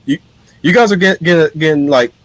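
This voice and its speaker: A man's voice: a little high, fairly quick, dry recording, low-quality recording. A man hosting a live stream in casual chat, blunt and given to repeating words as he starts his sentences. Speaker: stream host.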